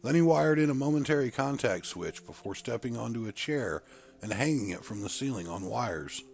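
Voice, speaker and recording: A man's voice: mid-range voice, animated, moderate pace, vocal fry, darkish tone. A man reading a first-person story in a scripted narration style, stressing the openings of his phrases dramatically. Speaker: audiobook narrator reading fiction. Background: music.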